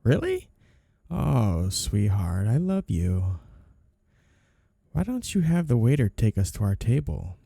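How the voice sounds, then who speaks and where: A man's voice: on the low side, wildly swooping pitch, takes it slowly, gravelly, long pauses, dark tone, slightly rough, dry recording, studio quality, exaggerated voice. A man playing a flirty, two-faced mafia boss in an ASMR period roleplay, with coy, teasing lines and sugary endearments. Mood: gushing, lovey-dovey.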